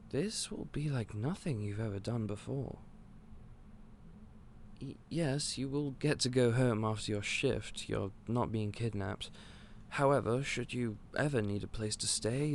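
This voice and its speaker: A man playing an eccentric, otherworldly candy store owner in an audio roleplay, polite and gentle but a little scatterbrained. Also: medium pitch, animated, measured pace, slight background noise. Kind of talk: scripted audio roleplay to the listener.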